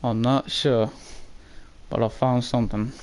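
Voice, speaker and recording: A man's voice: mid-range voice, level tone, measured pace, darkish tone, natural voice. A man playing a grim, suspicious western outlaw in an amateur story role-play. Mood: grave, wary.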